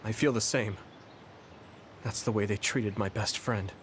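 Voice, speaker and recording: An actor playing a polite, caring young soldier in a video game, speaking gently and earnestly. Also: male voice, lowish voice, fairly even intonation, a little slow, slight background noise, close to an everyday voice. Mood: somber. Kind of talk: English video game voice acting. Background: soft background music.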